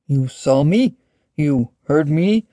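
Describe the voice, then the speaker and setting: A storyteller of unclear sex voicing a gentle, earnest dragon in a breathy, tentative way with some dignity. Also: medium pitch, steady tone, moderate pace, dry recording, clean studio sound.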